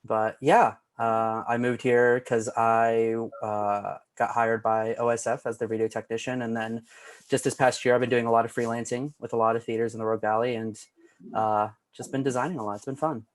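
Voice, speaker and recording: A man's voice: mid-range voice, level tone, normal speed, no echo. A man with an American accent introducing himself casually as a panelist in a roundtable. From Orlando, Florida, United States. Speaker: roundtable panelist, lighting and video designer.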